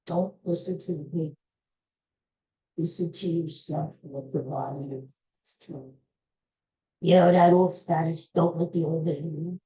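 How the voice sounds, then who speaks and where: A woman talking slowly through a solo podcast, her voice mellow and a little low. She rambles with folksy advice, restarting her sentences and speaking straight to her listeners. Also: steady tone, poor audio. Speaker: podcast host. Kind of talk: casual solo podcast monologue.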